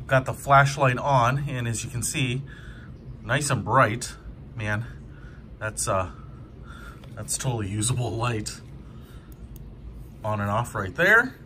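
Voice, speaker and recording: A man's voice: medium pitch, pushed and bright, a little hiss or hum. A man giving a casual hands-on product review in an informal, enthusiastic, conversational way.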